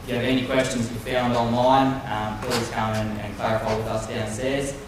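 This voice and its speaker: A man's voice: mid-range voice, steady tone, moderate pace, noisy background. An Australian man from careers staff making a friendly, hesitant announcement with many fillers. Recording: echoey hall, distant mic.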